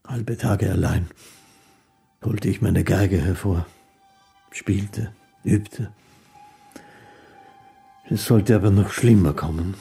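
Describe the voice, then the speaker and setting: An actor playing a timid, melancholy official's son, narrating a radio play in a hesitant, reflective, husky voice. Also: male voice, deep voice, mellow, exaggerated voice. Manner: hesitant, reflective, broken into short phrases. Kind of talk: literary first-person narration in a radio play.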